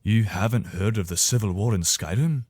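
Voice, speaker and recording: A man's voice: on the low side, normal speed, darkish tone, no echo, studio quality, lightly acted. A man playing a loyal, weary imperial soldier in a fantasy video game, speaking in an earnest, conversational, soldierly way. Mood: explanatory, sober. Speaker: voice actor for a game character. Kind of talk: video game character dialogue.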